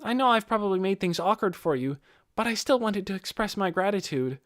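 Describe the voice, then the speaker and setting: A man puts on an earnest teenage boy for a fantasy audiobook. The voice sits high and lively, polite and full of youthful admiration. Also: fairly quick, no room sound, clean studio sound. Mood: earnest, grateful.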